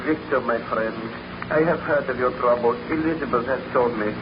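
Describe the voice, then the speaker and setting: An actor playing a loyal, concerned friend, formal and earnest in 1930s radio drama style. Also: male voice, mid-range voice, normal speed, lightly acted. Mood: sympathetic. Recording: noisy, muffled vintage radio recording.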